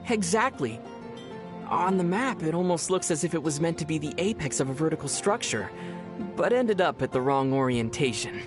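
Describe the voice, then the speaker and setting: A man playing a young adventurer hero in a video game, with a youthful voice and a measured, thoughtful, gently wry manner. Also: fairly high pitch, animated, close to an everyday voice. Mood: musing. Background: music.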